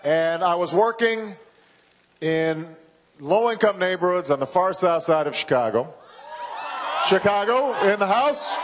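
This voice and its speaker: A man in his forties giving a campaign speech, slow and halting with frequent pauses and repeated words. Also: high voice, a little hiss or hum. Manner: halting, measured, with many uhs and repeated words. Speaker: political candidate. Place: large university hall. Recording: reverberant hall from a PA.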